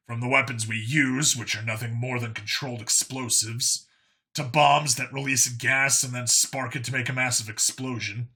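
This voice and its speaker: A blunt human male detective in a sci-fi audiobook, played by a man. He sounds irritable and defensive, with a very bright, forceful tone and a husky grain at a middling pitch. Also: exaggerated voice. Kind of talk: audiobook character acting.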